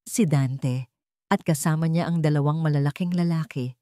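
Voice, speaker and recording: Low-voiced Filipino woman telling a dramatic story. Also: moderate pace, warm tone, no echo, clean studio sound. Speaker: story narrator. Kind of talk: dramatic scripted first-person storytelling.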